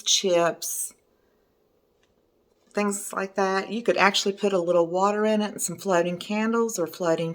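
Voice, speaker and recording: A middle-aged woman with a hoarse voice, chatting casually as a resin art teacher while showing her work at home. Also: medium pitch. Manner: chatty, enthusiastic, meandering. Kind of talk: casual video talk showing artwork.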